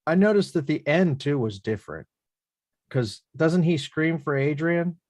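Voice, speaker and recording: A man's voice: fairly high pitch, animated, unhurried, darkish tone, dry recording, clean studio sound. A man chatting casually on a video call, laughing easily and reflecting on the film. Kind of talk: casual online chat.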